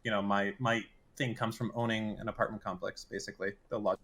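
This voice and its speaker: A man's voice: fairly low pitch, little pitch movement, quick pace, a bit bright. A man in his mid thirties on a stream call, talking casually in a brash, crude way. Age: 30s. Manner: brash and crude, insulting banter. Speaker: guest caller.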